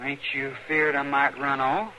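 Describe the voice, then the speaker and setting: Slow, hesitant young frontiersman. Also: medium pitch, bright, forceful tone, rough recording. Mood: remorseful. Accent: rural American West drawl. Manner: halting, plain-spoken. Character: simple, guilt-ridden young frontiersman, hesitant and plaintive. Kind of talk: old-time radio western acting. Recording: old radio recording with faint hiss.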